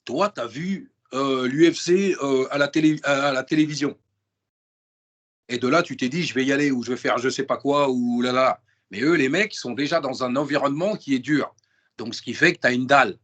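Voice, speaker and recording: A man's voice: mid-range voice, moderate pace. A man as an interview guest, chatting casually and emphatically in slang, with lots of restarts and repeated words. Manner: emphatic and animated, full of restarts and repetition.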